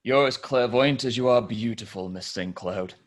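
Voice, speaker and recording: A man playing a suave, courteous millionaire in a script table read, measured and polite. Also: medium pitch, close to an everyday voice.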